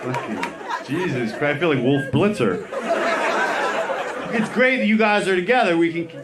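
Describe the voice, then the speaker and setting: A man doing stand-up crowd work, teasing audience members in a halting back-and-forth. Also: fairly high pitch, lively intonation. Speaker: stand-up comedian. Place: comedy club stage with audience laughter. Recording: roomy live club, loud crowd noise.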